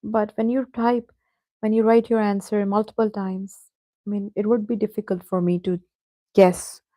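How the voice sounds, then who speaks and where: A woman's voice: medium pitch, little pitch movement, moderate pace, mellow, dry recording. A woman teaching an online English class, patiently going over answers and addressing students by name.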